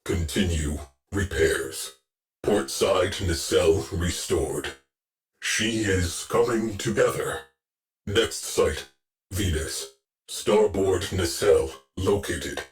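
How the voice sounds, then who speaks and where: Sci-fi game ship AI: stern war veteran, deep register, unhurried, terse and grave. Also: male voice, poor audio. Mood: terse, businesslike. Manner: clipped, terse, solemn military delivery. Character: stern, weary veteran military ship AI, haunted by loss. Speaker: mission control and ship intelligence. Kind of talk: scripted video game mission briefing.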